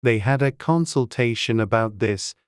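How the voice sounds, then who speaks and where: A man narrating a classic children's story in a gentle, even storytelling manner. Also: medium pitch, normal speed, clear, resonant tone, no echo, studio quality.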